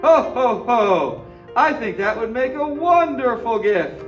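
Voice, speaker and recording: An actor playing a jolly, booming department store Santa Claus in an old-time radio advertisement. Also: male voice, animated, slow pace, distant mic, lo-fi sound, full character voice. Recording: echoey, off-mic stage, music behind.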